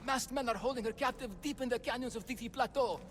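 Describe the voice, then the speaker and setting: High-pitched, nervous huckster. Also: male voice, steady tone, quick pace, a bit bright, a little hiss or hum. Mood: flustered, evasive. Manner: hesitant, stammering salesman patter. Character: nervous, theatrical swindling huckster, a frightened father. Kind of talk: video game character acting. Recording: music behind the voice.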